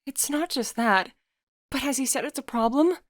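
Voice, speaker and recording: A woman's voice: high-pitched, normal speed, no echo, clean studio sound, voice pushed up, natural voice. A woman voicing an anxious, self-loathing middle-aged woman with a hesitant, stammering delivery in a story. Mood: nervous.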